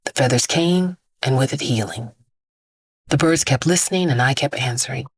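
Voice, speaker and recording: A woman playing an immortal vigilante bag lady, a grieving mother, in a deliberate, solemn audio drama monologue. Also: rumbling low, slightly rough, dry recording, heavily acted. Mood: grim, solemn. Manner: deliberate, solemn, incantatory storytelling with repeated phrases.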